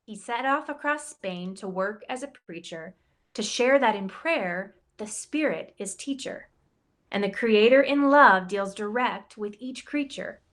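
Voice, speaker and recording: A woman who directs campus ministry reads a rhyming picture book aloud, playful and expressive, giving the quoted lines a voice of their own. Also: mid-range voice, unhurried. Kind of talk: storybook read-aloud in rhyme.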